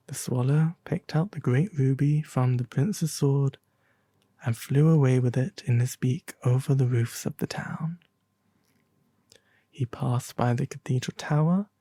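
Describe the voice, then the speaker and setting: A performer voicing a refined owl demon prince, reading a storybook tale softly and gently in ASMR style. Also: medium pitch, no room sound. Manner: slow, gentle, theatrical storytelling.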